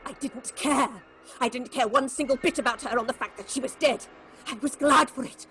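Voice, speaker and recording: A woman's voice: high-pitched, brisk, pushed and bright, soft background music. A woman playing a guilt-crazed, jealous actress in a theatrical horror drama, ranting melodramatically as she confesses. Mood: manic, bitter.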